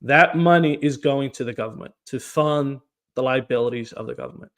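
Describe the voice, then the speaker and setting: A man's voice: medium pitch, a little fast. Earnest man. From Portugal. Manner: earnest, repeats words at starts of phrases. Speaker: political candidate. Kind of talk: podcast interview.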